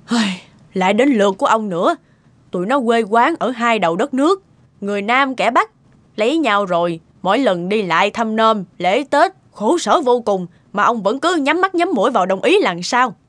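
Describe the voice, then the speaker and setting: A woman's voice: fairly high pitch, expressive, moderate pace, a bit bright, dry recording. A woman with a southern Vietnamese accent voicing a stubborn, disapproving middle-aged mother in a family cartoon, emphatic and scolding.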